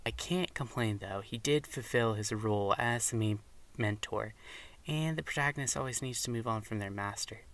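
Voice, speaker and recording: A man's voice: on the low side, moderate pace, fairly bright tone. A man giving prepared fan commentary as a guest, recorded on a slightly distant microphone.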